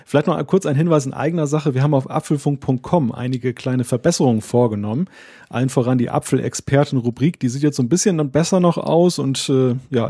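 A man from northern Germany hosting a tech podcast, calm, measured and understated as he moderates. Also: mid-range voice, slightly rough, no room sound, studio quality. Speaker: podcast host and webmaster.

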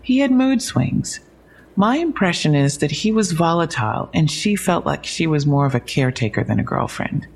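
Creaky-voiced, wry police detective. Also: female voice, deep voice, lively intonation, moderate pace, a little mellow, exaggerated voice. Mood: matter-of-fact, wry. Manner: conversational briefing, wry asides. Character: matter-of-fact young female police detective, dryly wry. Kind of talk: audio drama dialogue.

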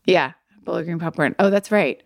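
A woman's voice: fairly low pitch, normal speed, gravelly, no room sound, studio quality. A woman in her forties co-hosting a podcast, chatting casually with eager enthusiasm and frequent laughter. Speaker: podcast co-host, actress. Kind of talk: casual chat.

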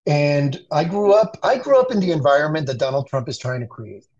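Male guest from the Dominican Republic, earnest, restarts often, slightly high voice, muffled remote audio. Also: brisk, a little mellow. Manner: earnest, frequent restarts and repeated words. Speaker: communications expert guest. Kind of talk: political interview conversation.

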